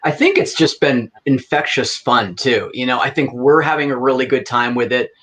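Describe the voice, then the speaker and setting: A man's voice: mid-range voice, animated, normal speed, a crowd in the background. A man, the director of the audio drama, reflecting casually on his work in a podcast chat. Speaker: fan film director.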